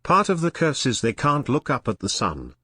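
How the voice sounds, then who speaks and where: A man's voice: mid-range voice, wildly swooping pitch, moderate pace, pushed and bright, breathy edge, no echo, studio quality. A synthetic male text-to-speech voice reading viewer chat messages aloud, with the odd inflections of a machine.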